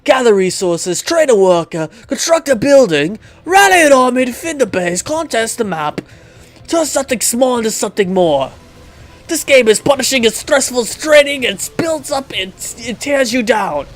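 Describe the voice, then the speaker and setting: A man's voice: very high-pitched, very animated, normal speed, soft background music, pitched above the performer's own voice. A man voicing an anguished, self-doubting young pro gamer from a visual novel, declaiming dramatically. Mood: intense, building. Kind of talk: visual novel character reading.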